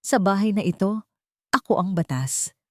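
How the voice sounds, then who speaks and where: A woman's voice: medium pitch, animated, moderate pace, a little mellow, no echo, clean studio sound, lightly acted. A woman voicing a cold, domineering, villainous lady of a wealthy house in an audio drama, icy and commanding. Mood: menacing. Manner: icy, commanding, hissing menace.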